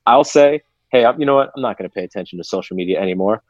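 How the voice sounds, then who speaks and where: Lower-voiced man, dull dry recording. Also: very fast, darkish tone, no room sound. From Seattle, USA. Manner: reflective, candid, swears casually. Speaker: podcast guest. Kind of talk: casual podcast conversation. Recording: dull, lo-fi remote audio.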